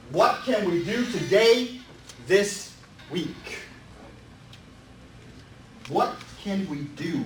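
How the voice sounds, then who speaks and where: Guy doing a motivational seminar talk, pretty high voice, kinda far from the mic. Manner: emphatic, repetitive, rhetorical questions. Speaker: real estate seminar presenter. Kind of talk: motivational presentation. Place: indoor meetup presentation room. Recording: distant, echoey live room with background noise.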